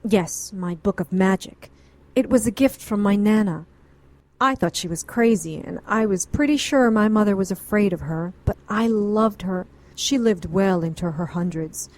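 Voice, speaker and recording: A woman's voice: mid-range voice, normal speed, a little mellow, lightly acted, low-quality recording. A woman playing a composed, defensive witch who frankly narrates her past in an audio drama. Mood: reflective. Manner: frank, self-justifying.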